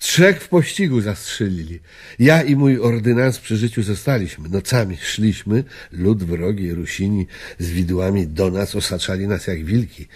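An actor playing an elderly former Polish army officer in a radio drama, reminiscing wistfully in halting, reflective phrases. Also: male voice, on the low side, darkish tone, breathy edge. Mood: tense recollection. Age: older.

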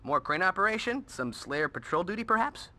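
A man's voice: sits high, sing-song, fairly quick, pushed and bright, exaggerated voice. An actor playing a goofy, boastful teenage boy sidekick in a video game, jokey and theatrical. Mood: boastful, joking. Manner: jokey, theatrical self-praise.

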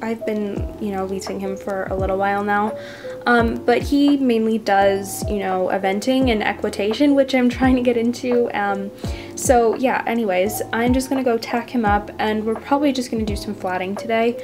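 A woman's voice: fairly high pitch, steady tone, moderate pace. A young woman in her teens narrating a casual horse vlog, chatty and full of fillers and little asides. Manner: chatty, many fillers and "you know". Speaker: toy horse vlog creator voicing a rider. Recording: voice-over with clear music underneath.

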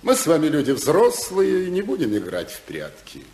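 An actor playing a sly, oily newspaperman offering bribes in a 1950s radio play, with insinuating, theatrical, drawn-out phrasing. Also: fairly high pitch, wildly swooping pitch, darkish tone. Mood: smooth, confiding. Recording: roomy 1955 radio recording, faint music.

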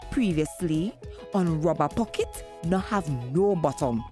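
Female station announcer, dark low tone, scripted read over faint music. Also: lively intonation, measured pace.

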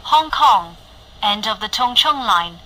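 A woman making formal recorded train announcements with measured, careful enunciation. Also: mid-range voice, lively intonation, pushed and bright. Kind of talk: recorded public transit announcements. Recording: noisy clips captured amid train ambience.

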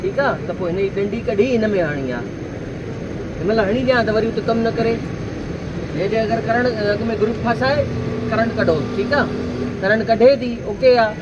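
A Sindhi man explains things casually, as a mechanic would. His voice is high for a man. The recording is dull and buried in loud roadside noise. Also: moderate pace. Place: open-fronted roadside car workshop. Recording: muffled, very noisy roadside workshop.

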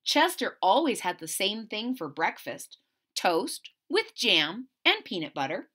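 A middle-aged woman reading a picture book aloud to children, expressive and deliberate, pausing to question her listeners. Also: mid-range voice. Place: small indoor room at home.

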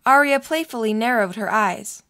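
A woman's voice: fairly high pitch, normal speed, fairly bright tone. A woman reading scripted narration for a story.

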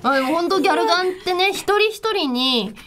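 A woman's voice: very high voice, expressive, fairly quick, heavy background noise. A woman hosting a radio show, presenting segments and chatting casually, with frequent attentive interjections.

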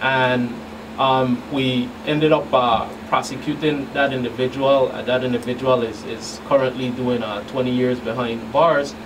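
Halting middle-aged man, distant noisy recording. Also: medium pitch, fairly even intonation. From U.S. Virgin Islands. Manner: halting, deliberate, hesitant phrasing. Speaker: law enforcement agent, radio guest. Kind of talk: talk show interview. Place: small radio studio. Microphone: studio boom microphones in view. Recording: distant mic, clearly noisy room hum.

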